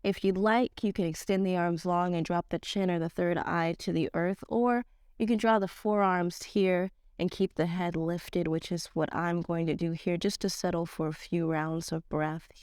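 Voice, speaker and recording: A woman with a stuffed-up, slightly nasal voice, leading a slow guided yoga class in a casual, slangy way. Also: fairly low pitch, clear, resonant tone, no echo, clean studio sound. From Nigerian-American. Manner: casual slangy teacher, slow calm cues. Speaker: yoga teacher.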